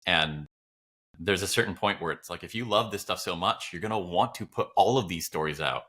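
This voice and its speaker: A man's voice: low voice, a little fast, sharp tone. A man co-hosting a podcast in a casual, reflective style, musing loosely as he thinks aloud. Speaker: podcast co-host.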